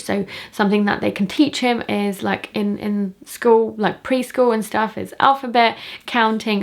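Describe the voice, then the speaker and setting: A woman's voice: mid-range voice, gravelly. A woman in her thirties talking casually and enthusiastically to viewers as a parenting vlogger. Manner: chatty, enthusiastic. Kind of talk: casual chatty vlog to viewers.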